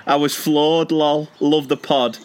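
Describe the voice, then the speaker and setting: A British man and teacher co-hosting a casual podcast, chatty and quick to laugh as he reads listener stories. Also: a little high, little pitch movement, clear, resonant tone. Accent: British English. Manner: chatty, laughs often, trails off and restarts. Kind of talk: casual podcast chat, reading listener messages.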